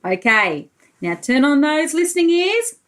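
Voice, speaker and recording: A high-voiced woman teacher reads a picture book to small children. Her delivery is very animated and unhurried, with swooping intonation. She talks to the children directly, explaining and asking questions. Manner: expressive, explains pictures and asks the listeners questions. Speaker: preschool teacher. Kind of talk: animated storybook read-aloud for young children.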